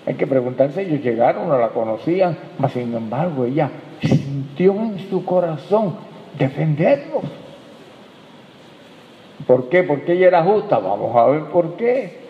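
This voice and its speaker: A man preaching a sermon as pastor, rising emphatically and dropping soft as he addresses the congregation. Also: a little high, sing-song, unhurried, a little hiss or hum. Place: church hall. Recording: tinny, echoey hall recording.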